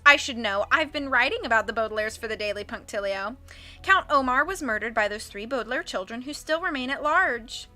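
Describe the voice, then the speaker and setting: A woman's voice: on the high side, lively intonation, a little fast, pushed and bright. A woman voicing a pushy, eager newspaper reporter in a storybook reading. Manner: gushing, eager. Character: pushy gum-chewing female newspaper reporter.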